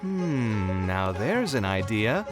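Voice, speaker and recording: A man's voice: fairly low pitch, sing-song, slow. A man voicing a passionate young male architect in a video game, speaking expressively and with concern, over game music. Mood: scheming.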